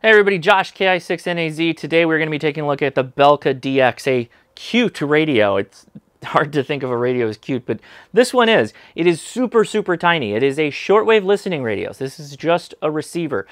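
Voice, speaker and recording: A man's voice: on the high side, sing-song, fairly quick, no room sound, clean studio sound. An American man in his thirties presenting a casual product review to camera in an enthusiastic, emphatic way. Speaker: host of a ham radio review channel. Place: small indoor workshop room. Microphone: lapel mic.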